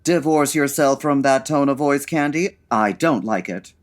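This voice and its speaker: A man's voice: mid-range voice, unhurried, lightly acted. A man playing a grumpy, theatrical male diva with a haughty, dramatic delivery in a comedic old-time radio show. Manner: haughty, dramatic, deadpan refusals.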